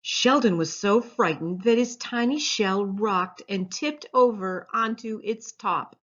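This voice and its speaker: A woman reading a children's storybook aloud as its author, in an expressive storytelling style. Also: mid-range voice, takes it slowly. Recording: dull lo-fi sound.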